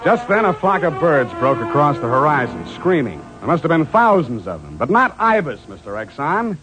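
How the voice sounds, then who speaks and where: An actor playing a sardonic private detective narrating a 1940s radio drama, wry and deadpan in wording. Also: male voice, a little high, moderate pace, loud music behind the voice, low-quality recording.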